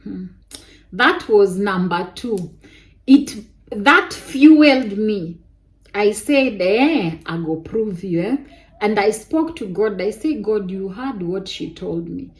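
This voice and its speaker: Young woman, soft voice, slow and unhurried, personal-testimony vlogger tone. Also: medium pitch, rough recording. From Kenya. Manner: animated testimony with Kenyan interjections like "eh" and "kai". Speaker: vlogger telling a personal story. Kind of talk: casual storytelling to viewers.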